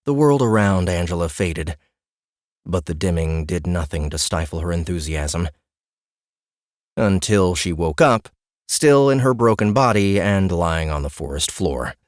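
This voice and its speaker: A man narrating a scripted fantasy audiobook in an expressive storytelling manner. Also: low-pitched, measured pace, no room sound, clean studio sound. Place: dry recording booth.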